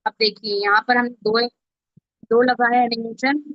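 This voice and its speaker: A woman's voice: on the high side, level tone, unhurried, dry recording. A woman instructor giving an informal step-by-step tutorial, often checking that listeners are following along. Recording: dull, lo-fi, dry close audio.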